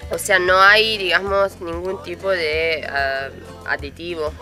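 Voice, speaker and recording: A woman's voice: lowish voice, steady tone, measured pace. A young woman, a travel show host asking short curious follow-up questions outdoors on a forest trail. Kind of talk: casual interview on location. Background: music.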